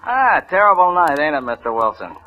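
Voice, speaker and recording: An actor playing a friendly, chatty young elevator operator in an old radio drama. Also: male voice, fairly high pitch, very animated, brisk. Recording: noisy, lo-fi 1940s radio.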